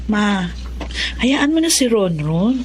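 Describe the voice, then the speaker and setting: A woman playing a protective young adult older sister in a radio drama, with a gentle, reasoning manner. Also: medium pitch, very animated, takes it slowly, noisy background. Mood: calm, pleading. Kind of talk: Tagalog radio drama acting.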